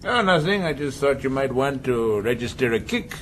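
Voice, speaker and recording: An actor playing a domineering, cynical, shrewd sea captain with a taunting manner in a radio drama. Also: male voice, medium pitch, expressive, moderate pace, fairly bright tone, a little hiss or hum, natural voice. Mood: mocking. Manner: taunting, sardonic.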